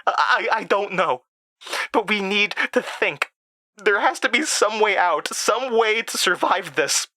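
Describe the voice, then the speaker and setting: A man's voice: sits high, expressive, slow pace, pushed and bright, no room sound, pitched above the performer's own voice, full character voice. A man voicing frightened schoolboys in a horror audio drama, urgent and stammering with panic. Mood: anxious, urgent.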